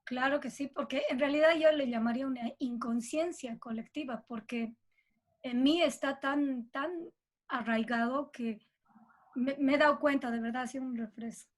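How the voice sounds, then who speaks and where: A woman's voice: high voice, normal speed. A woman with a Bolivian accent speaking informally and reflectively as a composer being interviewed.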